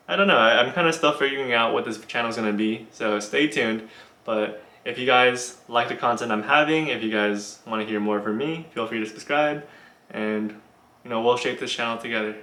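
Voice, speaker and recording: A young man chats through viewer questions in a relaxed, halting way, full of ums and small restarts. His voice has a bright, forward tone at a middle pitch. The room around him adds a noticeable echo. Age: young adult. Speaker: YouTuber answering viewer questions. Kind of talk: casual Q&A vlog.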